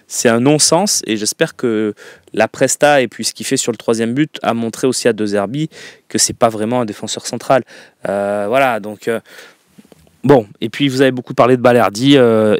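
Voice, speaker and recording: An opinionated man hosts a football call-in show, talking emphatically and leaning on fillers as he argues his points. His voice sits at a middle pitch and sounds close and dry. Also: dry recording. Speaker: radio call-in show host. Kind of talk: casual football phone-in talk.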